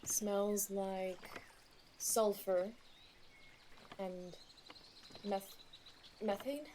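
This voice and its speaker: Casting: bewildered, hesitant female patient, radio theatre. Dark-toned voice over faint background noise. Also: mid-range voice, steady tone, very slow. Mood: wary, bewildered. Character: frightened, bewildered young female patient in dream therapy.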